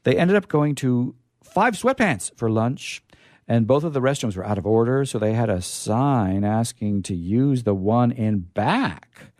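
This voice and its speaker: Male comic storyteller and host, very lively pitch, close dry sound, playful ad-libbing. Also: unhurried, no room sound. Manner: playful, exaggerated delivery with ad-libbed asides. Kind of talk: live comic story reading with sign-off.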